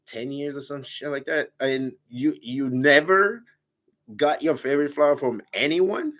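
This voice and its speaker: A man on a dating talk show telling a story about his ex in a casual, animated way, with a big, loud voice. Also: fairly high pitch, unhurried. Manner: animated, laughing, quotes himself in raised voice.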